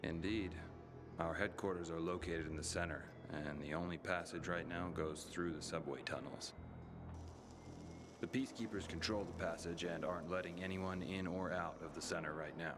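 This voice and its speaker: A man's voice: deep voice, steady tone, moderate pace, lightly acted. An actor playing a discreet male guild messenger who speaks formally and precisely. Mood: reserved. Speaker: guild carrier. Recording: game cutscene with music bed.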